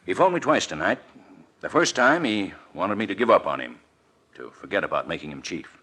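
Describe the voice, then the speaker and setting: An actor playing an earnest, emphatic city official in a 1940s radio mystery. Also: male voice, low-pitched, sing-song, fairly quick, pushed and bright, husky, full character voice. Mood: urgent, insistent. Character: earnest, insistent male city official under pressure.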